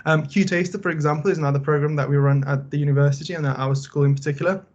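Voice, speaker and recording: A young man from Bulgaria, a final-year student, giving a relaxed, conversational talk with many fillers. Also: a little high, rapid-fire, muffled recording. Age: young adult. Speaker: student presenter. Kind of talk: casual presentation.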